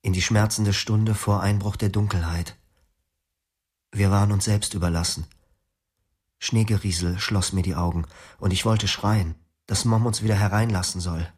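A man narrating his memories in the first person, reflective and quiet. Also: fairly low pitch, steady tone, moderate pace, husky. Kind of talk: scripted memoir narration. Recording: music behind.

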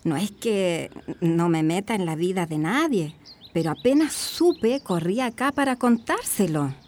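A woman's voice: fairly low pitch, lively intonation, unhurried, a little mellow. An actress with a Chilean accent playing a gossipy neighbour who insists she is no busybody, in a radio drama. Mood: confiding. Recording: noisy recording with clicks.

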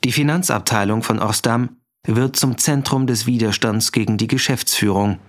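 A man delivers scripted scene headings for a radio drama. He sounds factual and concise, like reading datelines, in a medium-pitched voice. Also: moderate pace.

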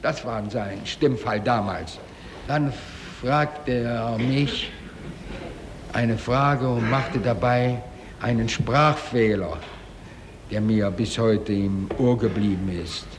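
An actor playing a male Holocaust survivor who testifies in court in a radio play, formal, precise and deliberate, pausing between phrases. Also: mid-range voice, natural voice. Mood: tense recollection. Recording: reverberant hall with faint noise.

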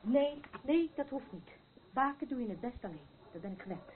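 Een actrice die in een hoorspel een getroebleerde, angstige vrouw speelt die zichzelf als nachtwaakster en onheilsprofeet ziet, ernstig en ratelend van gedachte naar gedachte. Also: female voice, sits high, expressive, a little fast. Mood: resigned, uneasy. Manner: rambling, earnest, haunted. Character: troubled, fearful woman, self-appointed night watcher and doomsayer. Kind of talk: radio play acting. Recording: muffled phone-like sound with background noise.

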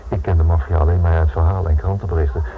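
A man acting in a Dutch radio play as a cautious but determined writer and husband, anxious and somewhat halting. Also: sits low, steady tone. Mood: uneasy, resolute. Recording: muffled narrowband old radio recording with hiss.

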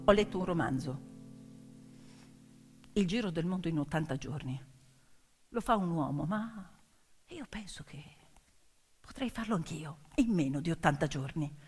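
A woman's voice: low voice, expressive, a little mellow, husky, long reverb tail, pitched below the performer's own voice. Actress as a resolute young woman reporter. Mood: quietly determined. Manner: resolute, deliberate. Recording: piano music behind the voice.

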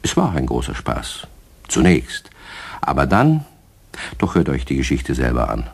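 A man's voice: rumbling low, wildly swooping pitch, measured pace, gravelly, breathy edge. A man narrating a scripted children's story, addressing young listeners directly as a storyteller.

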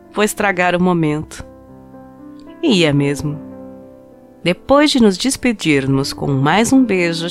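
Audio drama narrator: actress as a heartbroken young woman, lowish voice, confessional and wistful, music underneath. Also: natural voice. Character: heartbroken young woman, drunk, wistfully recalling a lost love. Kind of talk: dramatized first-person short story narration in a podcast.

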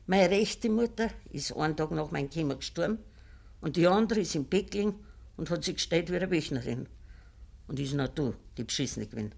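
Old country grandmother, Bavarian dialect, creaky, blunt, stern moral storyteller for vintage radio drama. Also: low-pitched, animated, brisk, darkish tone, full character voice. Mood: grave storytelling. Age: elderly.

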